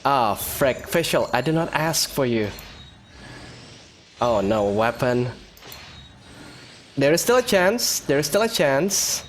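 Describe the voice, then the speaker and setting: A man's voice: mid-range voice, expressive, slow, pauses often, a little hiss or hum. A man giving casual commentary as the host of a gameplay video.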